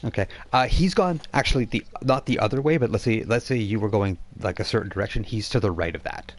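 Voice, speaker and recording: A man working as game master, improvising narration for a tabletop role-playing game in a halting, conversational way. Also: fairly low pitch. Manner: halting, conversational, thinking aloud.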